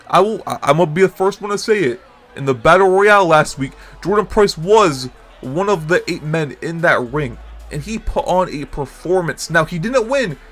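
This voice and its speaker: A man with a stuffy nose and sore throat calling a wrestling match as host, excitable and jumping to shouts on big moves. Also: a little high. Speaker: wrestling commentator and show host. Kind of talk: play-by-play commentary.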